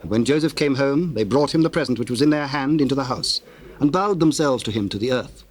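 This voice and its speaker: A man's voice: mid-range voice, lively intonation, husky. Formal male scripture narrator. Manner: formal, measured storytelling. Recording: noisy old cassette tape.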